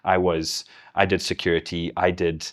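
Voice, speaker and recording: A deep, low-pitched middle-aged man speaks with a Zimbabwean accent worn partway into English. He tells his own story in a relaxed, candid way and laughs easily. It sounds like an interview guest in casual conversation. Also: normal speed. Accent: Zimbabwean with English influence. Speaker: guest, business executive.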